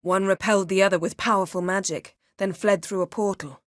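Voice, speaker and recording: A woman voicing a proud, sharp-tongued sorceress in a video game, speaking in a cool, measured, sardonic and commanding manner. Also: mid-range voice, steady tone, dry recording, clean studio sound, close to an everyday voice. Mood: serious, composed.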